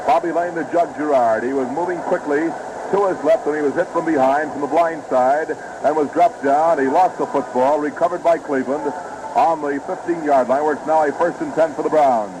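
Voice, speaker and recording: A man's voice: fairly high pitch, normal speed. An American man calling football play-by-play on 1950s radio in a formal, detailed and dramatic manner, on a noisy vintage broadcast recording. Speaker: sports radio play-by-play announcer.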